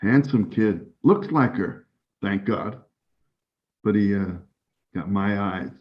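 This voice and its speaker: An actor playing a halting, evasive man in his forties in a dramatic 1930s scene. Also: on the low side. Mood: conciliatory. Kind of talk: dramatic two-person scene reading. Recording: dull lo-fi audio.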